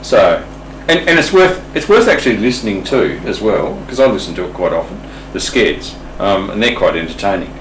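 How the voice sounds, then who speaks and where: Low-voiced man in an echoey room. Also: big pitch swings, a little fast, hardly any pauses, fairly bright tone. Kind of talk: informal explanatory talk. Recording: echoey room, distant mic, faint noise.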